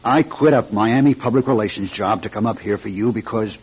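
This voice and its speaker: A man playing an exasperated American campaign manager in a classic radio drama, husky, insistent and pleading. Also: on the low side, fairly quick, darkish tone. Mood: exasperated, accusing. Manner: insistent, pleading, emphatic repetition. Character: exasperated, urgent male political campaign manager. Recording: dull lo-fi radio recording.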